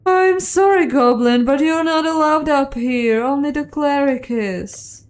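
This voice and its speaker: Casting: giant female sphinx for a fantasy game, acted by a woman, imperious, curt, raised pitch, slow delivery. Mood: haughty, curt. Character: giant female sphinx, haughty, smirking, cryptic.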